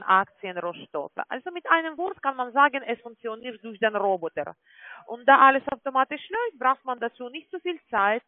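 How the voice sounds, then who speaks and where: A woman with a non-native German accent gives an explanatory phone sales pitch as a call-centre agent, often adding tag questions to confirm. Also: no room sound. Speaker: call-centre agent selling online trading. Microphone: telephone call. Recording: tinny, band-limited phone line.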